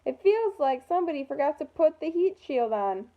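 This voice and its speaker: A woman's voice: sits high, sing-song, moderate pace, highs cut off. A woman voicing an eager, unflappable woman schoolteacher from a children's storybook, breezy and confident.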